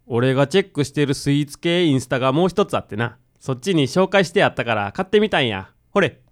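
A woman's voice: rumbling low, animated, fast. A woman playing a cocky, casual odd-job man with a Kansai accent in a comic radio drama. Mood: breezy. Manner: casual, cocky, rough masculine speech. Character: brash, boastful odd-job man who loves sweets.

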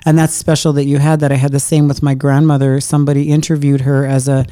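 A middle-aged woman in casual conversation, an earnest storyteller who slows down to stress key moments. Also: extremely low pitch, flat delivery, dark tone, dry recording, studio quality. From Canada.

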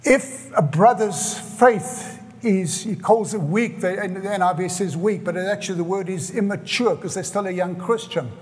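An older man with a South African accent preaches an earnest sermon, driving his points home by repeating them. His voice sits high for a man. The sound carries a long reverb, as in a large hall. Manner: earnest, repeats phrases for emphasis. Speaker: pastor.

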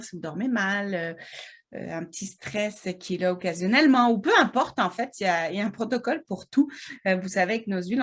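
A woman with a Québécois accent co-hosting a casual podcast, chatty and giggly, with plenty of fillers. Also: medium pitch. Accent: Québécois French. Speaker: podcast co-host. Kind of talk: casual chat.

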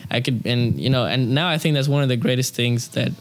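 A man's voice: medium pitch, brisk. A young man who sings opera, talking casually in an interview, rambling with many fillers. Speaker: opera singer, interview guest.

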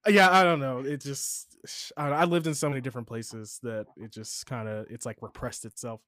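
A man from Chicago chatting as a podcast host, loose and profane, his pitch shooting up when he gets worked up. The sound is close and dry, with no room around it. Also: moderate pace, no echo. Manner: animated, swearing, jumps to high pitch when riled. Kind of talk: casual chat.

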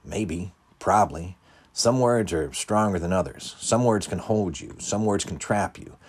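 A man doing a hushed, worried young hero. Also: sits low, unhurried, husky. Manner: hushed, urgent.

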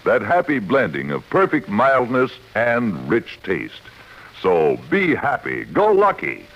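A man's voice: mid-range voice, huge pitch swings, takes it slowly, a little hiss or hum. A man reading a persuasive scripted commercial in the style of a 1950s radio announcer. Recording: dull vintage radio recording.

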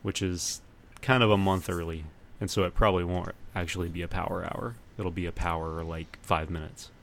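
Low-voiced man hosting a podcast. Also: steady tone, fairly quick, creaky, fairly bright tone. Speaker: podcast host. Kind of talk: casual podcast chat. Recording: noticeable background noise.

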